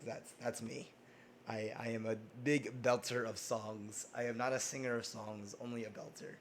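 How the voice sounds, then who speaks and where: A man with a hoarse voice hosting a casual cooking stream, chatting loosely with lots of ums and restarts. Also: mid-range voice, little pitch movement, normal speed, a little hiss or hum. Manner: rambling, many ums and restarts.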